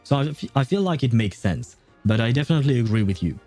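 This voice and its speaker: A man's voice: mid-range voice, animated, quick pace, darkish tone, soft background music. A man giving casual livestream commentary on a game, chatty and musing, sometimes reading dialogue aloud. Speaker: game streamer.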